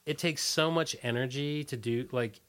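A man's voice: medium pitch, normal speed. A man talking casually as an interview guest, telling anecdotes in a loose, fragmented way. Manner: loose, fragmented storytelling peppered with 'like'. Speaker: musician being interviewed as guest.

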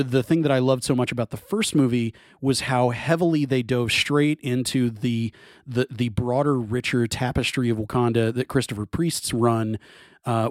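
Animated rambling male podcast talker. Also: medium pitch, no room sound. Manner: enthusiastic, rambling with many restarts. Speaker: podcast co-host. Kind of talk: casual film discussion.

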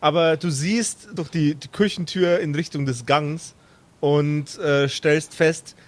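A man's voice: a little high, fairly even intonation, slow pace. A man narrating an improvised tabletop game as game master. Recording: music behind the voice.

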